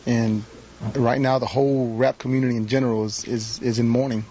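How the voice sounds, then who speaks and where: A man giving a halting, spontaneous news interview soundbite, repeating words as he goes. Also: medium pitch, flat delivery, mellow. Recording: muffled archived TV clip, faint ambient noise.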